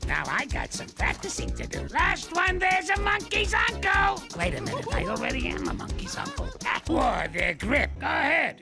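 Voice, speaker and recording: A cranky old grandpa ape from a TV cartoon, boasting about his glory days and heaping insults on the young ones. His voice is high and gravelly and it leaps all over the place, while he drags out his words. Cartoon music plays clearly behind him. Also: heavily acted. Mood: boastful. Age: older. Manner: grumpy, boastful, hurls colorful insults. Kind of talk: 1990s animated TV series acting.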